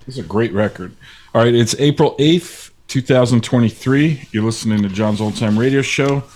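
A man's voice: mid-range voice, normal speed, darkish tone. An American man hosting a radio show, introducing things in a casual, informal and slightly hesitant way.

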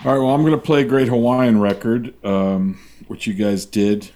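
A man's voice: mid-range voice, fairly even intonation, moderate pace, warm tone. A man hosting a casual chat, asking questions and introducing records. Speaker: host.

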